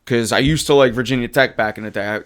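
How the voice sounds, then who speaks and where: A man hosts a live sports talk stream in a hoarse voice. He sits at a middling male pitch and banters casually with his chat. He turns animated and emphatic when he argues about players' stats. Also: quick pace. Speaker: livestream sports show host.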